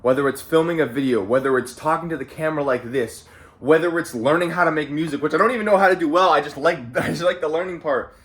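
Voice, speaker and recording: A man's voice: a little high, fast, fairly bright tone. A young man in his late teens from Canada, talking casually and profanely to camera in a motivational, rambling monologue, often repeating phrases and saying "bro". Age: young adult. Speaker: vlogger. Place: at home.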